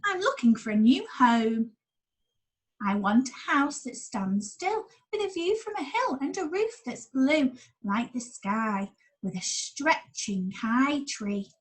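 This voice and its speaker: A woman's voice: high voice, sing-song, slow, heavily acted, low-quality recording. A woman voicing a kindly, jaunty former pirate man in a children's storybook reading. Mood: earnest, hopeful. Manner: jaunty, exclaiming.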